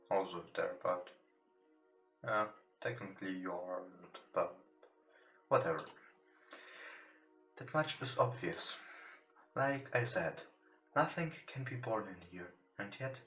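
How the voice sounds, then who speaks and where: A man who is not a native English speaker, reading visual novel text aloud for a let's play, somewhat haltingly. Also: fairly low pitch, a bit bright. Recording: roomy echo with music behind.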